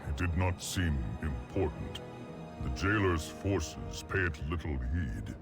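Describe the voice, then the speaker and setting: Deep, solemn warrior. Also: male voice, animated, slow, music in the background, exaggerated voice. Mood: grave, wary. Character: solemn, grave warrior scout in a fantasy war. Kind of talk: fantasy game cutscene acting.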